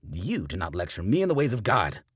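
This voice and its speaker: A screeching, wild-eyed old preacher, put on by a man reading a story aloud. His low voice swings up and down as he thunders accusations, raspy-edged and close to the mic. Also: big pitch swings, normal speed, heavily acted. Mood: furious. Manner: shouting, fire-and-brimstone. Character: ranting old male backwoods preacher.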